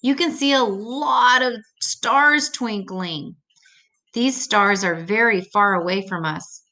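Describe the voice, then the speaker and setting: A woman's voice: medium pitch, slow, bright, forceful tone. An older woman reading an educational children's book aloud, adding simple, patient explanations as she goes.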